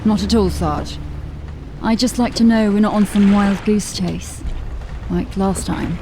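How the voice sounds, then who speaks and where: A woman's voice: medium pitch, a little slow, noisy background, natural voice. A woman playing a level-headed watch officer. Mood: keen. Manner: practical, matter-of-fact. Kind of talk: audio drama acting. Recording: music bed under the voice. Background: music.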